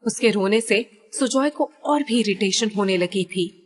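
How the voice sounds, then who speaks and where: A woman narrating a story audiobook in a steady, even storytelling voice. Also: mid-range voice, a little slow.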